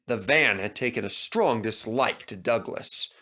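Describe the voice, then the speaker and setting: A man's voice: mid-range voice, animated, measured pace, sharp tone. A man narrating a scripted children's story.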